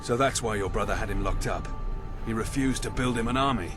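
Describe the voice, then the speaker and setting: An actor playing a wry, determined adventurer companion in a video game, speaking conversationally. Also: male voice, fairly low pitch, a little fast, close to an everyday voice. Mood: wry, wary. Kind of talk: dramatic game acting. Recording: loud music behind.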